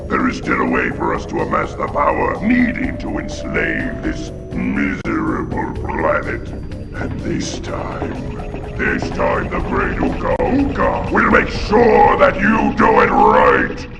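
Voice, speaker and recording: A man voicing a wrathful, domineering evil spirit in a video game, gravelly, imperious and menacing. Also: extremely low pitch, very animated, slow pace, full character voice. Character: wrathful evil male mask spirit, domineering villain boss. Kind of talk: video game cutscene voice acting. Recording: dull lo-fi game audio with music.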